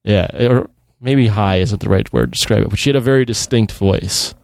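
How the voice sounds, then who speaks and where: A man in his thirties hosts a podcast in an easygoing, chatty way and laughs often. His voice sits on the low side, and the recording is dry, with no room echo. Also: normal speed, gravelly, a little mellow. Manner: laid-back, laughs often. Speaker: podcast host. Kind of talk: casual chat.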